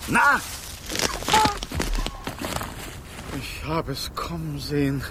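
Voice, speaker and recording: A man's voice: a little high, very animated, a little slow, noisy background. An actor playing a talking golden snake in a radio play, a former king who speaks in a theatrical, courtly, plaintive way. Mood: exclaiming. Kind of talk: German radio play acting.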